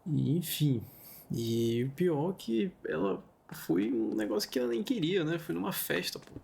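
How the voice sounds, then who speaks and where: Brazilian man, gravelly fry in the voice, casual storytelling, chatty asides, light background noise. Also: fairly high pitch, expressive, measured pace, darkish tone. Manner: informal, conversational asides. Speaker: host of a story-reading video channel.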